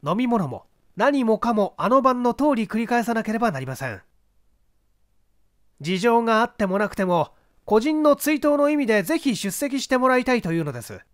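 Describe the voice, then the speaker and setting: A man's voice: very high voice, sing-song, normal speed, no echo, studio quality, pitched above the performer's own voice. A man voicing a confident police detective giving precise, polite, emphatic instructions in an audiobook mystery. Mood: commanding, polite. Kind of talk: literary audiobook character dialogue.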